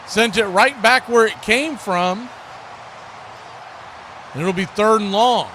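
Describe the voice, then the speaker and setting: American guy doing live football play-by-play, pretty high voice, clipped calls, noticeable background noise. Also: slow, sharp tone. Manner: clipped present-tense calls. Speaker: play-by-play commentator and watch party host.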